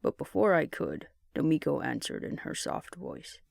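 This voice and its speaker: Guy narrating an audiobook, lively intonation, really dry close sound, first person story. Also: on the high side, normal speed, vocal fry, no room sound.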